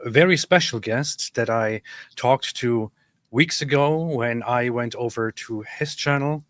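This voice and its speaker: A man hosting an interview, upbeat and chatty, with quick asides. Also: medium pitch, a bit bright, dry recording. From Germany, Baden-Württemberg. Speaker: host. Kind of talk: interview host.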